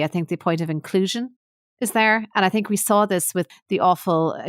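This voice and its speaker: A woman hosting a podcast, asking questions in measured, articulate, somewhat formal phrasing. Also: fairly low pitch, dry recording, studio quality. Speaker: podcast host. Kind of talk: interview questions.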